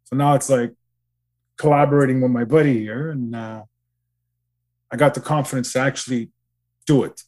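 A man from Canada, a podcast co-host, chatting casually with halting restarts and free swearing. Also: medium pitch, fairly even intonation, darkish tone. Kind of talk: casual chat.

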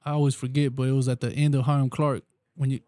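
A man's voice: mid-range voice, flat delivery, fast talker, mellow, no echo, clean studio sound. A young man from Texas, a rapper guest, chatting casually with a laid-back drawl, heavy slang and swearing; Spanish was his first language. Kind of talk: casual podcast chat.

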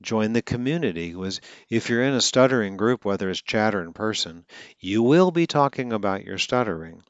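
A man's voice: fairly low pitch, expressive, moderate pace, dry recording, lo-fi sound. A man from Atlanta, Georgia, speaking as a speech coach in a casual, earnest way, with occasional stutters and self-corrections. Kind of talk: casual talk.

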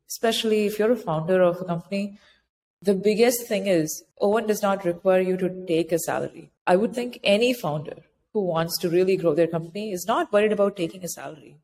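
A woman's voice: on the low side, a little mellow. A woman speaking as an interview guest, giving practical, explanatory advice in a conversational style. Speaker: interview guest, author advising immigrant founders. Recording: echoey hall-like reverb, clear background noise.

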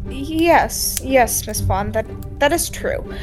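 Indignant female suspect acted by a woman, high swooping voice, flustered and defensive, 1940s radio mystery style. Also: lively intonation, takes it slowly, a little mellow, faint music behind. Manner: flustered, stammering, protesting. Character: defensive, indignant older sister under accusation. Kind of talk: 1940s radio drama acting.

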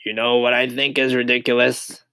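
A man's voice: medium pitch, flat delivery, normal speed, pushed and bright, no echo, low-quality recording. A man voicing a rude male centipede in a storybook, a brash, mocking tease.

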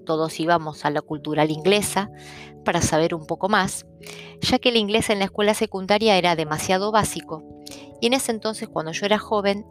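A middle-aged woman from Argentina with an Argentine accent, calmly explaining things in a personal talk. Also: lowish voice. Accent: Argentine Spanish. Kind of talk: personal talk on stuttering and languages.

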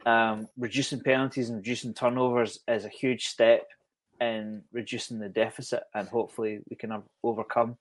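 A man co-hosting a fan podcast, talking football in a halting, hesitant way. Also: mid-range voice, level tone. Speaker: podcast co-host. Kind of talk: casual sports chat.

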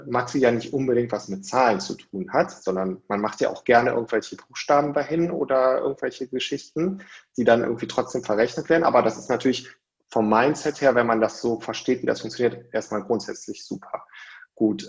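A man talks at length in a relaxed advisory chat, dropping fillers as he goes. His voice has a gravelly fry. The sound is dull and lo-fi. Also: medium pitch. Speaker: academic advising a prospective doctoral student. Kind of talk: casual advisory conversation.

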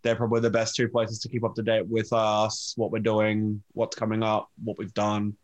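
A man's voice: on the low side, monotone, normal speed, no echo, muffled recording. A man with an Australian accent talking casually as a band member in an interview. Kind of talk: casual chat.

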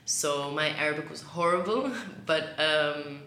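A woman's voice: deep voice, unhurried, echoey room, slight background noise. A young woman from Egypt answering interview questions casually, hesitant, with fillers and restarts. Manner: hesitant, many fillers and restarts, laughs softly. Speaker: guest, graduate student.

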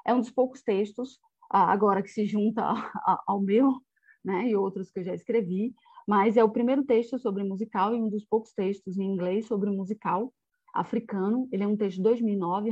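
A woman's voice: mid-range voice, mellow, slightly rough, no room sound, dull audio. A woman with a Brazilian Portuguese accent giving an academic presentation on slides, partly reading from a text, as a researcher. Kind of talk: academic lecture with slides, partly read aloud.

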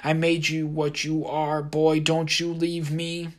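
A man voicing a sinister male haint made of cotton, with a raspy, drawling, folksy way of talking. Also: medium pitch, big pitch swings, normal speed, a bit bright, pitched above the performer's own voice, heavily acted. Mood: pleading angry. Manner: drawling, folksy Southern-style talk.

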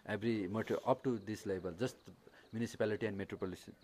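A man's voice: fairly low pitch, steady tone, darkish tone, slight background noise. A man from Nepal with a Nepali accent, speaking English in a casual interview, hesitant and full of fillers and restarts. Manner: halting, many fillers and restarts. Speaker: interviewee, paraglider. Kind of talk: casual conversation.

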